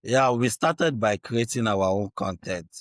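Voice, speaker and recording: A man's voice: fairly low pitch, lively intonation, unhurried, no room sound, clean studio sound. A man from Nigeria with a Nigerian accent and Igbo as his first language, explaining earnestly in conversation and often checking in with small tags. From Anambra State, Nigeria. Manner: earnest, checks in with tags like "right" and "you know". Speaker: interview guest, Bitcoin educator.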